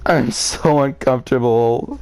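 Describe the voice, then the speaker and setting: A man's voice: medium pitch, unhurried, a little mellow, clear, resonant tone, low-quality recording. A man reading a story aloud and reacting to it with theatrical, exclamatory commentary and sarcastic asides. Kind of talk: live read-aloud with outraged commentary.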